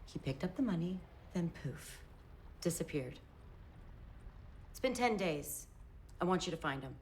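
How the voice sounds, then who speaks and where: An actress playing a brassy, hard-nosed 1920s wife with a blunt, slangy way of talking. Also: female voice, on the low side, expressive, measured pace, slight background noise. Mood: defiant.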